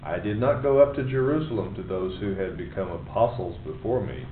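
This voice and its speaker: A man with a very low voice reads scripture aloud at a steady pace. He sounds far from the mic, in an echoey space with clear background noise. The sound is narrow and phone-like. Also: a little fast. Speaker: scripture reader in a Bible study. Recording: distant, echoey, tinny line with background noise.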